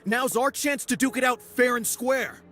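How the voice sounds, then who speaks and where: A man's voice: very high-pitched, moderate pace, sharp tone. A man voicing an aggressive young warrior in a video game, confrontational and spoiling for a fight. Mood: challenging. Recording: faint music behind.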